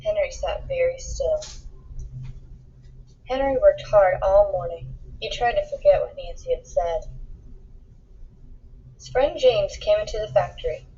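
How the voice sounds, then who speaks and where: Female narrator for a children's read-aloud, animated intonation, slightly husky voice, emphatic on dialogue, modest lo-fi sound. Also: mid-range voice, measured pace, long pauses. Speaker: storybook reader. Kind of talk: expressive read-aloud of a children's picture book.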